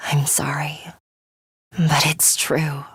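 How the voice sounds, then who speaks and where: An actress playing a stern, aloof young woman in a video game, speaking in a curt, commanding, deliberate way. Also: sits low, monotone, slightly rough, no room sound, clean studio sound, heavily acted. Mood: grave. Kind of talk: scripted video game character lines.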